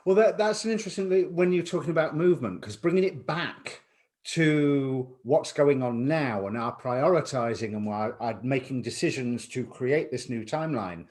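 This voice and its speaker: Male host, casual and reflective, rambling questions, mid-pitched voice. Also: moderate pace, a little mellow. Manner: chatty, reflective, asks long winding questions. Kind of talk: conversational interview.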